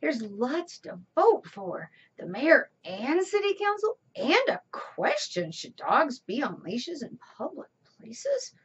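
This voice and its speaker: A woman reading a children's storybook aloud with deliberate, theatrical emphasis. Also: high-pitched, wildly swooping pitch, highs cut off. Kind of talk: expressive read-aloud narration.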